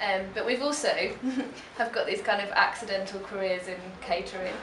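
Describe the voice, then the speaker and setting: A woman's voice: medium pitch, normal speed, a loud crowd. A woman in her thirties, a performance artist, chatting casually in an interview with lots of fillers and trailing phrases. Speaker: performance artist and cafe co-founder. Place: cafe venue indoors. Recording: echoey cafe room, a bit far, audible ambience.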